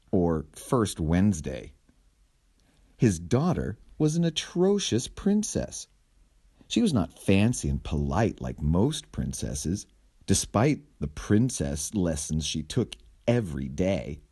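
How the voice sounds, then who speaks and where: A man reading a children's picture book aloud with theatrical, deliberate phrasing. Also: low voice, mellow, husky. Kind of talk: animated children's storybook narration.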